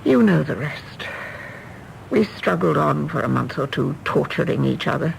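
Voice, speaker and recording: Creaky-voiced, rueful genteel English widow. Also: female voice, deep voice, expressive, moderate pace, slight background noise, full character voice, low-quality recording. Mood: regretful, weary. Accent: English Received Pronunciation. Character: weary, self-reproachful genteel widow confessing regrets. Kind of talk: British radio drama acting.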